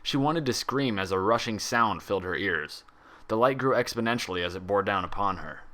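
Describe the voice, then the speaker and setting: A man reading a story aloud as scripted, expressive narration. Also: fairly low pitch, fairly quick. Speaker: fan fiction reader.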